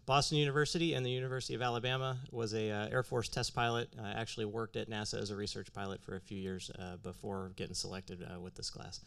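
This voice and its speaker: A man's voice: fairly low pitch, flat delivery, fast, creaky, hardly any pauses, a bit bright. A man from Pennsylvania and former test pilot introducing himself as an astronaut candidate, with a hesitant delivery.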